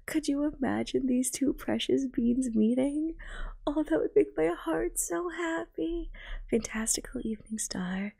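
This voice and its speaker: Hushed, breathy young woman, creaky and mellow. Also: fairly high pitch. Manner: hushed, half-whispered, gushing. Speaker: VTuber reacting to a game trailer. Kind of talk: casual live reaction commentary, soft-spoken ASMR.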